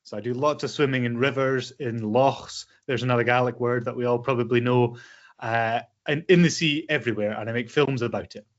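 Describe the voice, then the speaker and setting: Scottish man, mid-pitched voice, easygoing guest, simple explanations, lo-fi call audio. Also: fairly even intonation, fairly quick. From Scotland. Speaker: guest presenter and filmmaker. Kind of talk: friendly guest talk to children.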